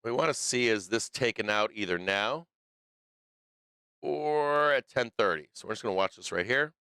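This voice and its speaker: Guy losing his voice doing live trading commentary, kinda low but bright and crisp, dry close mic. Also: animated, moderate pace, dry recording. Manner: loose, thinking aloud, frequent restarts and fillers. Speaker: stock market stream host.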